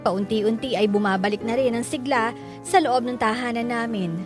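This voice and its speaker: A woman's voice: on the high side, fairly even intonation, normal speed, music in the background, natural voice. A woman voicing a wounded grown child who narrates family troubles in a reflective radio drama monologue.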